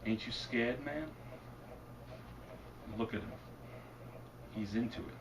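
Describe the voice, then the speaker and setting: A man reading his fiction aloud at a bookstore event, deadpan and wry, and losing his voice. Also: medium pitch, slow pace. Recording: distant mic, noisy room. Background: audience laughter.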